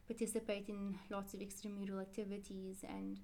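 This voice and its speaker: Woman, interview guest, rambling, self-correcting, even flat intonation. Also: mid-range voice, a little fast. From South Africa. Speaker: interview guest, Quran teacher. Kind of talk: casual interview answers.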